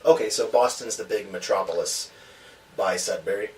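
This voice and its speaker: A man's voice: lowish voice, normal speed, a little mellow. Man asking questions from a distant mic. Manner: friendly, asks open questions with short encouraging replies. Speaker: podcast host. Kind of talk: casual interview. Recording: distant mic with faint noise.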